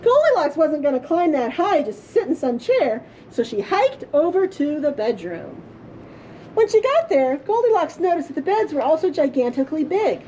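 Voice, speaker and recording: A woman storyteller reading a children's story aloud in a playful, theatrical way with drawn-out emphasis. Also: sits high, big pitch swings, dark tone, muffled recording, a little hiss or hum. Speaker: librarian storyteller. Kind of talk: expressive children's storybook reading for a puppet show.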